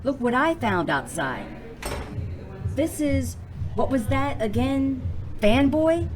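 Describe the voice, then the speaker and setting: A woman's voice: high-pitched, sing-song, slow pace, music in the background, full character voice. A woman playing a bold, playful former rocker who bosses and teases her friend, in an audio drama. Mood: flirty, welcoming. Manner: bossy, teasing, exuberant. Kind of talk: sci-fi romance audio drama.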